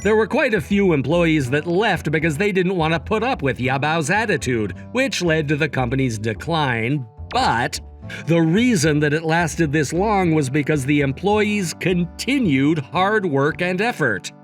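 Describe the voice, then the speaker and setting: A man plays a young male CEO in a manga dub, composed and principled. He explains and lectures firmly in a slightly high, expressive voice, with music underneath. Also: measured pace. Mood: calm, appreciative. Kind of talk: manga dub narration and dialogue.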